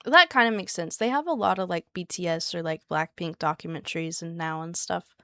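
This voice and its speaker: A woman streamer chatting casually with her viewers, with a soft, soothing voice and a chatty, giggly manner. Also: lowish voice, a little fast, no room sound. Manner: chatty and giggly, talks to chat by name.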